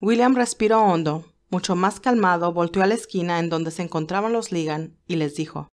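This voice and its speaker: Low-pitched woman narrating a story. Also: brisk, a little mellow. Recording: music behind the voice. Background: classical music.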